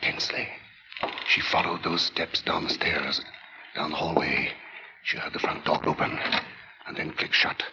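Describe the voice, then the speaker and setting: An actor narrating a 1940s radio thriller in a measured, grave and ominous manner. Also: male voice, very deep voice, little pitch movement, creaky, background noise. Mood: ominous, tense. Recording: dull vintage radio recording with music.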